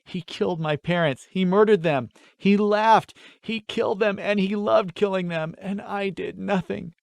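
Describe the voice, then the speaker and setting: A man's voice: sits high, steady tone, unhurried, vocal fry, no room sound, voice pushed up. A man voicing a traumatized young male villager, anguished and blaming himself, in a fantasy audiobook. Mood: anguished self-loathing.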